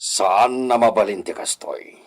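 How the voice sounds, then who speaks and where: A man's voice: lowish voice, a little slow, creaky. A man playing a wary, authoritative hacienda landowner in a radio drama. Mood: suspicious.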